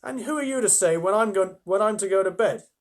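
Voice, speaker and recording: A man's voice: sits high, fairly quick, pitched above the performer's own voice, natural voice. A man voicing a bad-tempered, sneering young schoolboy who snaps and contradicts in a storybook reading. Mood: irritable. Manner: snappish, contradicting.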